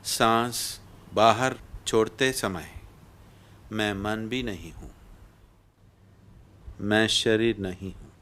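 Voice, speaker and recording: A man guiding a meditation in deliberate short, repeated phrases with long pauses. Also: on the low side, fairly bright tone. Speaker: meditation guide. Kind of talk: guided meditation.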